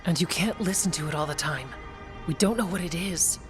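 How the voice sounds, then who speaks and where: A woman's voice: lowish voice, brisk, music in the background, lightly acted. An actress playing a practical, protective female warden in a fantasy game, plainspoken and firm. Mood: cautious, protective. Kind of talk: game dialogue acting.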